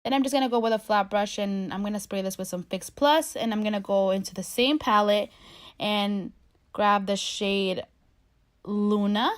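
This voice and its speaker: A woman's voice: medium pitch, normal speed. Young female beauty vlogger, stuffy from a cold, rambling and chatty, close camera mic in her bedroom. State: congested from a cold. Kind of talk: makeup tutorial narration. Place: bedroom at home.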